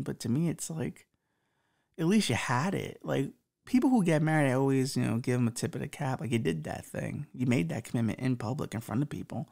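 A man's voice: mid-range voice, vocal fry, darkish tone. A man in his thirties with a slight lisp, hosting a podcast and rambling profanely through relationship advice. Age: 30s. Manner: profane, rambling, self-mocking. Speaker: podcast host.

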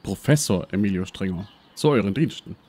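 Self-important professor played by a man, low-pitched, Swabian accent, brash and shady, for a comic detective audio drama. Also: animated, a little fast, darkish tone. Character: brash, shady male history professor and treasure hunter. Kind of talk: parody detective radio play.

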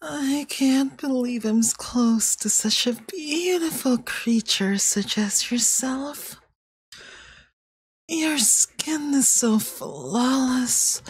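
A woman voicing a possessive, manipulative young femboy yandere boyfriend in ASMR roleplay, soft, breathy and cloying, coaxing with veiled menace. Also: high-pitched, no room sound, exaggerated voice. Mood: doting, coaxing.

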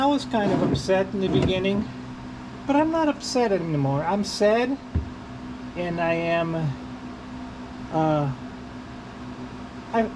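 Male vlogger, casual rambling delivery, slow pace, mid-pitched voice, noisy working surroundings. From Portugal. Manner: halting, rambling, repeats himself. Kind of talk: casual unscripted vlog chat while working. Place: working on a car during an oil change. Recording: noisy, with vehicle and ambient hum.

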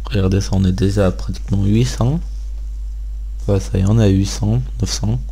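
A man's voice: deep voice, steady tone, a little fast, vocal fry, warm tone, slightly rough. A man hosting a gaming video, giving casual gameplay commentary and thinking aloud in an informal way.

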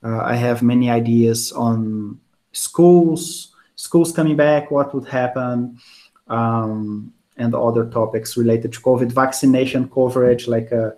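A man's voice: medium pitch, unhurried. Brazilian man lecturing casually on a video call. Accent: Brazilian Portuguese. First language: not English. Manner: relaxed, conversational, many fillers and restarts, gestures with hands. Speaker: university lecturer. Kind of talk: casual online lecture answering student questions. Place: home office, indoors, small room. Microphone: wired earphone mic on a video call.

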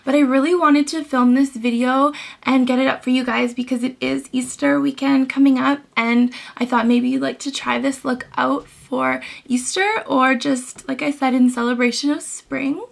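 Hoarse, high-voiced young woman tutoring makeup. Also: fairly even intonation, moderate pace, few pauses. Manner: chatty, instructive, frequent "um"s. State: hoarse from illness, losing her voice. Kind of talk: step-by-step beauty tutorial. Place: small bedroom indoors.

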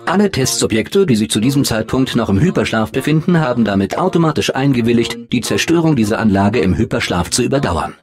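An actor voicing a polite, unflappable automated announcer in a video game, with formal, measured, deadpan official delivery and a blandly upbeat temper. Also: male voice, mid-range voice, lightly acted. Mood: calmly official. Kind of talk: scripted game announcements, German dub.

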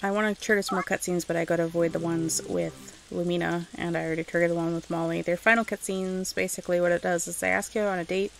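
A woman's voice: fairly low pitch, little pitch movement, fast talker, creaky. A woman giving casual let's-play commentary as she games, rambling and thinking aloud to herself. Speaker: video game streamer narrating gameplay.

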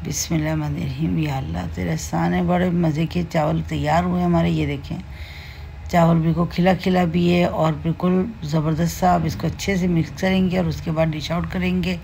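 Warm, low-voiced Pakistani home cook. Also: female voice, flat delivery, creaky, slight background noise. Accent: Pakistani Urdu. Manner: unhurried, homely explaining with many fillers. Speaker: cooking channel host. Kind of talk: home cooking tutorial voice-over. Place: home kitchen.

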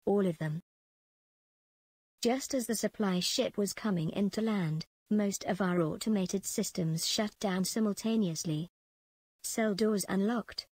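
A woman's voice: medium pitch, a little slow, darkish tone, breathy edge, no room sound. An actress playing a ruthless female prison commandant in a space empire, dictating clipped official log entries for a video game. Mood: tense, alarmed.

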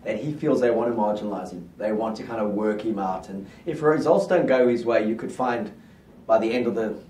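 A middle-aged rugby pundit talking in a small studio, confident and steady as he lays out his predictions. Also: lowish voice, fairly quick, recorded from a distance, a little hiss or hum. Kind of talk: casual rugby chat. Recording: roomy studio sound, mic set back.

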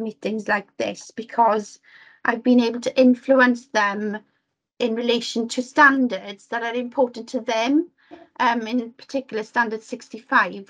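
A woman's voice: on the high side, a bit bright, low-quality recording. A woman from Wales giving an earnest work report on a video call, with frequent ums and restarts. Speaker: Welsh language officer reporting to committee.

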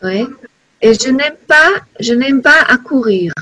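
A woman speaks slowly and haltingly on a video call, often pausing to find her words. Her voice sits a little high. She sounds like a learner trying out phrases in a casual online class. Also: animated, bright, forceful tone, poor audio. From China. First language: Chinese. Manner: hesitant, searching for words. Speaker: learner and organizer.

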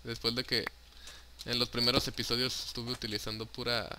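Young Mexican guy, let's-player; mid pitch, bright and crisp, loose and jokey, some hiss on the track. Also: little pitch movement, brisk. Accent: Mexican Spanish. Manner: chatty, joking, swears casually, mixes in English words. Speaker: gaming YouTuber. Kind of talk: casual Minecraft let's play commentary.

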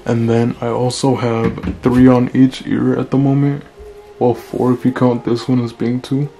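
Deep gravelly young man, flat delivery. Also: unhurried, soft background music. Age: 20s. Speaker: vlogger. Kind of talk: casual Q&A vlog. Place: small room indoors.

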